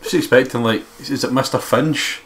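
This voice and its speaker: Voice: mid-range voice, moderate pace, a little hiss or hum. A let's play co-commentator chatting casually, with sarcastic, sweary and playful remarks.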